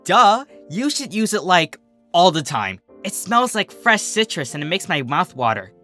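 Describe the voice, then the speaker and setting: A man's voice: high voice, very animated, normal speed, sharp tone, soft background music, pitched above the performer's own voice, heavily acted. A man voicing a brash, defensive, teasing young female athlete pony with casual, slangy, huffy delivery. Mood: eager, blunt.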